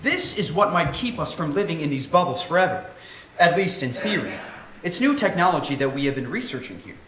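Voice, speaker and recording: Male actor as flirtatious Martian colony doctor: highish voice, very animated, playful and theatrical, roomy stage sound. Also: fairly quick. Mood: keen, explaining. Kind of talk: staged musical reading, sci-fi dialogue. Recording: roomy theatre recording with faint noise.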